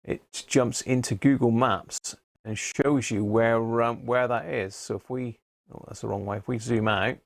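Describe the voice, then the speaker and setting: Male streamer, informal and unhurried, with a creaky edge and dry sound. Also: mid-range voice, fairly even intonation, dry recording. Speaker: live stream host. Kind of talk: casual live chat, hobby radio demonstration.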